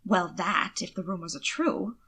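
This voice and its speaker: A loyal manservant in a period storybook, voiced by a woman. The tone is bright, tactful and good-humoured, politely familiar with the master. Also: fairly low pitch, lively intonation, normal speed, breathy edge. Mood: reluctant.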